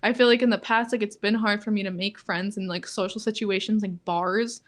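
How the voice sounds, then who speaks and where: Monotone young woman, constant "like". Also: a little fast. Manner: says "like" constantly, flat and low-key, laughs often. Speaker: podcast co-host. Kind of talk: casual chat.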